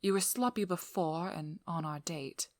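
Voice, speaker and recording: Casting: actress for a cunning female vampire hunter in intimate ASMR audio drama; lowish, breathy, playfully domineering, very dry recording. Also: unhurried, darkish tone, no echo, lightly acted. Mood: cool, probing. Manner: teasing, playful, coolly controlling. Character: devious, vigilant female vampire hunter, flirty then businesslike. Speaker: audio roleplay performer. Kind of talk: scripted ASMR roleplay, addressing the listener.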